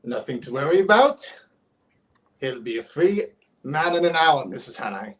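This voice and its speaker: Man playing young police detective, placating, smooth, lively pitch swings, old-time radio drama. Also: normal speed. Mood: casual. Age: young adult. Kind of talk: 1930s radio play acting.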